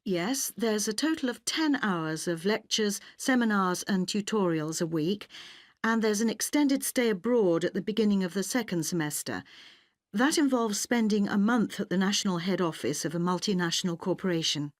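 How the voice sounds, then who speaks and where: A woman acting a polite, efficient university admissions officer in a scripted listening-test dialogue, speaking clearly. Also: mid-range voice, animated, normal speed, dry recording, studio quality, close to an everyday voice. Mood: polite, businesslike. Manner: polite, clearly enunciated, businesslike.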